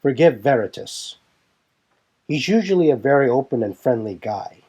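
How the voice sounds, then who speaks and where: Man voicing Stratus, husky, composed young leader. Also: mid-range voice, lively intonation, moderate pace, dark tone, dull audio. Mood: grave, explanatory. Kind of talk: fan audio drama dialogue.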